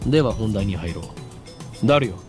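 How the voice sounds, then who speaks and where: A pompous young male mad scientist, voiced by an actor for a visual novel. He speaks quickly and commandingly, with theatrical grandeur and music playing behind. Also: lowish voice, animated, a little mellow, natural voice. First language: Japanese.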